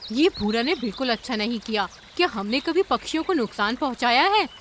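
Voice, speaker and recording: A woman's voice: on the high side, lively intonation, a little fast, a bit bright, a little hiss or hum. A woman voicing a commanding, dutiful ant queen in a cartoon story, speaking in a solemn, declamatory way. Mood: grieved, indignant. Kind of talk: Hindi animated cartoon story acting.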